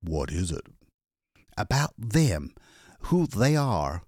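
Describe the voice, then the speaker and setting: A man's voice: lowish voice, big pitch swings, takes it slowly, darkish tone, slightly rough, no room sound, clean studio sound, exaggerated voice. A man voicing a desperate, exhausted man who escaped a captured town, speaking breathless and urgent.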